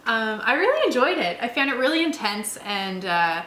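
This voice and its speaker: A woman's voice: a little high, sing-song, moderate pace, bright, forceful tone. A woman co-hosting a game review as a player, chatting casually in an enthusiastic, agreeable way. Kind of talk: casual chat. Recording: echoey room, faint background noise.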